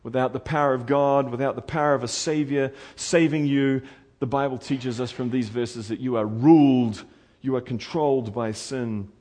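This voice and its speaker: A man from New Zealand with a New Zealand accent, preaching a sermon in an earnest, pleading way, rising to loud urgency and dropping to quiet intensity. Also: medium pitch, steady tone, moderate pace.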